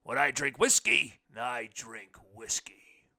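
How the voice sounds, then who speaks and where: A man voicing a hearty, folksy blind man in a story, with a big, loud voice and drawn-out words. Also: very animated, gravelly, full character voice. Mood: jovial, folksy. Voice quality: big, booming voice.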